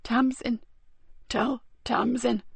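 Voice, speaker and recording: A woman's voice: medium pitch, fairly even intonation, gravelly, breathy edge, dry recording. Woman voicing a dying sergeant. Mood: weak. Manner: faint, halting, breathless. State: weak and dying. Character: badly wounded young male police sergeant.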